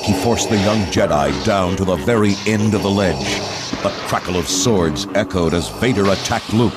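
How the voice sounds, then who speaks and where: Male storybook narrator: low voice, measured dramatic read for kids' adventure, loud score underneath. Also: lively intonation. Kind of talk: dramatic scripted children's read-along narration. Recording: loud music and effects underneath.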